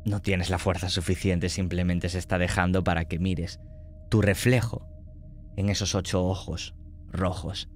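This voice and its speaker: A man with a Spanish accent narrating a tabletop horror game as game master, slow, descriptive and dramatic. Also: deep voice, fairly even intonation, slight background noise.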